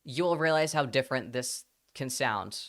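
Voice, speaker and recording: Smooth-voiced American-accented man chatting on stream. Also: mid-range voice, measured pace. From Spain. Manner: rambling and animated, slipping between English and Spanish. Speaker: livestreamer. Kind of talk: casual livestream chat, answering chat questions.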